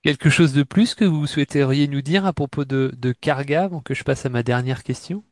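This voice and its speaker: A man interviewing a band, asking polite, halting questions with many fillers. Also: mid-range voice, dry recording, clean studio sound.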